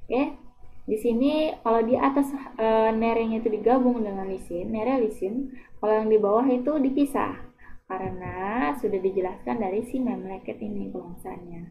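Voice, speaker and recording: A woman's voice: on the high side, moderate pace, over music. A woman language teacher patiently explaining Turkish phrases, with frequent hesitations and repetitions. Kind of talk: explaining a Turkish lesson in Indonesian. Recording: tinny phone-like audio.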